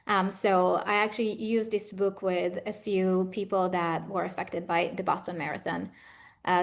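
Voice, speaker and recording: A middle-aged woman with an Israeli accent speaks as an interview guest at a medium pitch. She explains and advises conversationally, in the manner of a practised therapist. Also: creaky. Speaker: guest expert, trauma therapist.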